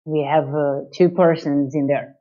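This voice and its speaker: Portuguese-accented woman, non-native english, slow careful delivery, dull lo-fi call audio. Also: low voice, fairly even intonation, warm tone. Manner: hesitant, searching for English words. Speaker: chamber of commerce director. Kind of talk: interview over video call. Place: in Lisbon.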